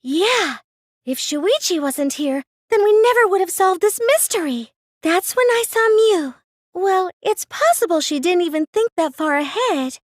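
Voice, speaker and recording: A woman's voice: very high voice, lively intonation, a little slow, no room sound, clean studio sound. A woman playing a timid, earnest, nerdy teenage girl in an English video game dub, hesitant and prone to stammering when flustered. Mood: thoughtful, uneasy.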